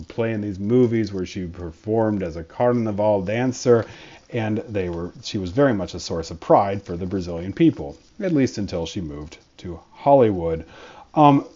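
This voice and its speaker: A man's voice: fairly low pitch, dark tone, muffled recording. A man, a history professor, giving a conversational college lecture with frequent fillers and restarts.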